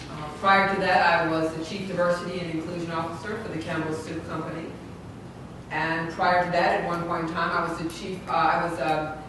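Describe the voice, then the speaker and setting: Deliberate middle-aged woman, echoey hall. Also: sits low. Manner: deliberate, pausing with fillers. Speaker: panelist, consultant. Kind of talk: self-introduction at a panel. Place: hotel event room. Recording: echoey, noisy room from far off.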